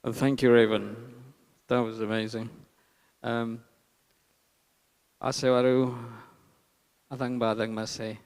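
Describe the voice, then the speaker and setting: A man from eastern Nepal with a Nepali accent gives an informal conference talk as an artist, haltingly searching for words. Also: mid-range voice, flat delivery, a little mellow, smooth. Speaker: artist and filmmaker presenting his work. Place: large hall at a public talk. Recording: reverberant hall.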